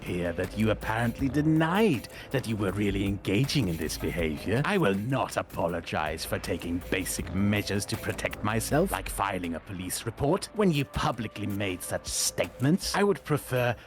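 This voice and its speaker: Low-voiced man reading a formal letter. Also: expressive, normal speed, few pauses, background noise. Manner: formal, defensive.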